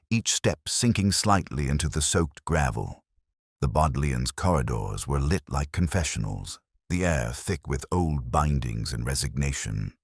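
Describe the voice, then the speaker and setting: Very deep-voiced man narrating a mystery. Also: no echo, clean studio sound. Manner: measured, literary, reflective delivery. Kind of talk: scripted first-person audio drama narration.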